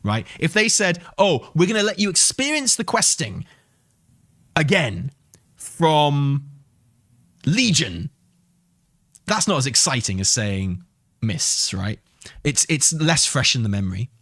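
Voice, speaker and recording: A man's voice: on the high side, very animated, slow, pauses often, a bit bright, no room sound, studio quality. A man talking casually as a gaming stream host, rambling and enthusiastic, with some swearing. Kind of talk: casual livestream chat and commentary on game news.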